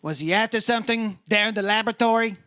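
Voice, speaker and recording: A man acts out a brisk insurance investigator from an old radio detective play. The voice is high and bright, with clipped, businesslike delivery in both narration and questioning. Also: smooth, dry recording, studio quality. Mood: concerned, probing. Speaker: actor playing the lead investigator. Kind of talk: 1950s radio detective acting, scripted narration.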